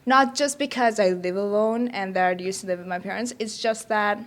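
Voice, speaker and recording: Casting: female student in her youth, Sri Lankan accent, average pitch, informal interview answers. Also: normal speed. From Colombo, Sri Lanka. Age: young adult. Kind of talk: casual interview conversation.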